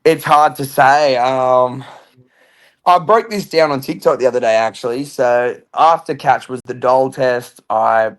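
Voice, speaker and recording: A young man with a loud, mid-pitched voice talks as a guest on a podcast. He is upbeat and chatty and often starts with "I feel like.". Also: measured pace. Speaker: reality show contestant, guest. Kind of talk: casual podcast interview.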